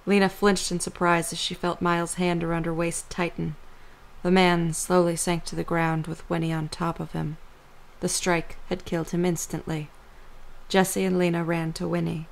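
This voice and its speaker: A woman's voice: fairly low pitch, flat delivery, moderate pace, vocal fry, rough recording. A woman reading scripted story narration for an audio drama as the narrator.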